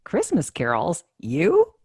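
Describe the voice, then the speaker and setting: Casting: practical radio mom, middle-aged, soothing and reasonable, very varied pitch. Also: female voice, huge pitch swings, takes it slowly, darkish tone, husky, full character voice. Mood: motherly. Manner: reasoning, placating, motherly. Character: practical, patient middle-aged mother soothing her husband. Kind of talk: live radio sitcom acting.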